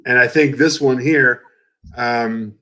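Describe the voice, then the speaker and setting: A man in casual chat gives his fight picks with confidence. He circles back to the same points to stress them. His voice sits at a middle pitch. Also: steady tone, takes it slowly, sharp tone. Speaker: co-host of a betting picks show.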